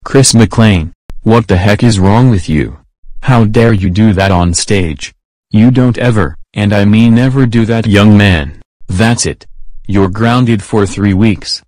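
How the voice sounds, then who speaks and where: A man acts a stern father in a cartoon. His voice is low and dry, and he speaks firmly, like a parent laying down rules. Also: slow, darkish tone, no room sound. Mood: stern, angry. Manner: firm, admonishing. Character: stern father figure scolding a boy.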